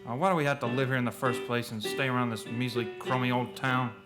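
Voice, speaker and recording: A man's voice: mid-range voice, steady tone, fast, over music, natural voice. A man playing a short-tempered, agitated family man under strain in a radio play. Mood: irritable. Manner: urgent, agitated, stammering.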